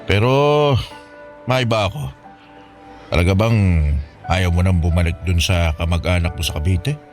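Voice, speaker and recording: A man's voice: sits low, fairly even intonation, normal speed, faint music behind, close to an everyday voice. A man with a Filipino accent playing a kindly, preachy older bachelor from the slums, a fatherly guardian in a radio drama. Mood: concerned, stern. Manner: fatherly, admonishing, colloquial.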